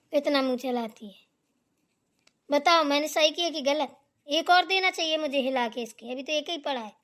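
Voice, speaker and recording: A woman's voice: sits high, natural voice. A woman voicing a bossy, scolding elder sister-in-law in a Hindi family drama cartoon, hectoring and preachy. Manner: hectoring, sharp-tongued, preachy.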